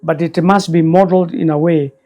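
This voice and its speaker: An older man, a guest elder recalling the past in slow, measured speech. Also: on the high side, fairly even intonation, warm tone. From Kenya. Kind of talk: reflective storytelling interview.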